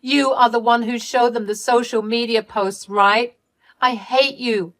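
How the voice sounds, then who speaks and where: A woman voicing a self-pitying young adult sister who pleads and defends herself in a high, strained voice. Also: very animated, slow. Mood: furious.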